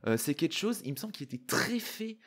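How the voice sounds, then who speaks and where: A man giving casual, excitable, slangy reaction commentary. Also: a little high, fast, slightly rough. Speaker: YouTuber reacting to and analysing a trailer.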